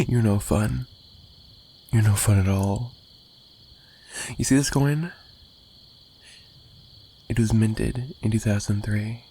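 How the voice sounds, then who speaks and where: Man acting a slow, low-voiced obsessive mafia kidnapper. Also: very animated, a little mellow, slightly rough, full character voice. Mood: taunting, menacing. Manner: slow, taunting, swinging between murmurs and giggles. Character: obsessive, menacing yandere mafia kidnapper, possessive and teasing. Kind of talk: dramatic ASMR roleplay monologue.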